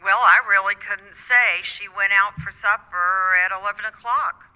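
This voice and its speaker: An actor playing a polite, unruffled clerk in a radio drama, heard through a telephone effect. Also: male voice, high-pitched, fairly even intonation, normal speed, pushed and bright, clean tone. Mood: polite, unconcerned. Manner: polite, noncommittal, formal. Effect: telephone filter. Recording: dull phone filter with long reverb.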